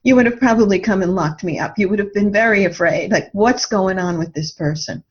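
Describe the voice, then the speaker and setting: Seeking a woman with a medium-pitched voice for a reflective author interview, conversational and animated when retelling, slightly dull recorded sound. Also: brisk. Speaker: guest, author and radio drama writer.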